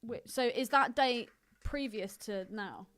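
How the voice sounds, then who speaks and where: A woman's voice: on the high side, slow pace, a bit bright. A woman co-hosting a podcast, chatting casually and bluntly, often questioning what she hears. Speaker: podcast co-host. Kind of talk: casual unscripted film podcast chat.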